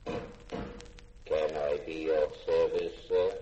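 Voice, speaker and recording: An actor playing a polite, literal-minded robot servant in a formal, deadpan manner in a 1950s radio drama. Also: male voice, very deep voice, drawn-out words, highs cut off, soft background music, heavily acted. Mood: formal, unflappable. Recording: echoey, noisy old radio broadcast.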